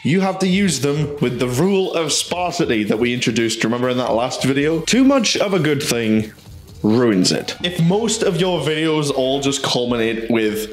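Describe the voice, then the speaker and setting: A man's voice: medium pitch, animated, moderate pace, echoey room, soft background music. A British man hosting a video essay in casual scripted narration, with a chatty, jokey manner. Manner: jokey, chatty, self-interrupting.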